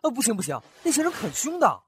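A man's voice: extremely high pitch, fast talker, a little hiss or hum, full character voice. A man voicing a kind, flustered young scholar in a costume radio drama, chatty and fretful. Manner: chatty, animated, fretful inner asides. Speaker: voice actor.

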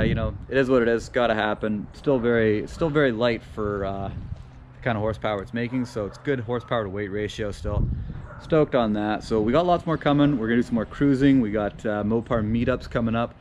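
A middle-aged man hosting a car channel, wrapping up a vlog to camera in a relaxed, upbeat, chatty way, standing outdoors on a driveway. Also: medium pitch, a little fast, noisy background. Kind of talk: casual vlog outro to camera.

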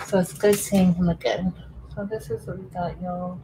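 A woman's voice: fairly low pitch, steady tone, moderate pace, mellow, a little hiss or hum, low-quality recording. A woman in her thirties hosting a casual craft livestream from home, chatting with viewers while she works. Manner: chatty, addresses viewers as y'all, frequent laughs. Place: indoors at a home craft table.

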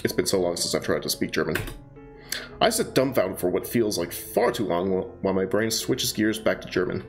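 A young man reads a visual novel aloud into a headset mic, breaking off for jokes and asides. His voice is low and gravelly, yet his pitch moves around a lot. Faint guitar music plays behind him. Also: animated, moderate pace. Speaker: streamer reading a visual novel. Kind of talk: casual let's-play narration.

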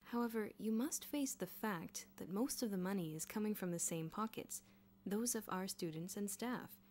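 A woman voicing a stern male headmaster in an audiobook story, formal, measured and drily sarcastic. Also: mid-range voice, darkish tone, slight background noise, natural voice.

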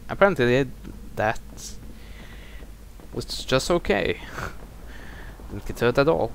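A young man with a Norwegian accent talks over his gameplay in a mid-pitched voice, tossing out dry, sarcastic remarks. A faint hiss sits under the recording. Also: lively intonation, slow pace. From Norway. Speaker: gameplay commentator. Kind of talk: casual let's-play commentary.

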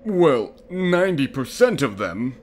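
A man voicing a solemn old ocean spirit king in a cartoon parody, deliberate and dryly wise. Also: medium pitch, big pitch swings, slight background noise.

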